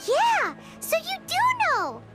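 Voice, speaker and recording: A woman voicing a tiny, excitable female fairy companion in a video game, with a squeaky childlike voice. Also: takes it slowly, heavily acted. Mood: curious, eager. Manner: excitable, exclamatory. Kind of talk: video game dialogue acting. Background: music.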